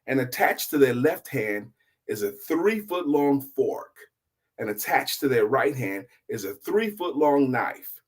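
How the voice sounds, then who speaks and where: Middle-aged male storyteller, husky, widely swinging pitch, emphatic delivery with dramatic pauses. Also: big pitch swings. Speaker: storyteller host. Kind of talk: dramatic, deliberate oral storytelling with a moral.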